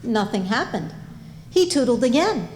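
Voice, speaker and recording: A woman's voice: a little high, very animated, unhurried, a little mellow, a little hiss or hum. A woman reading scripted comic narration as the storyteller of a staged reading. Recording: roomy, echoing live recording.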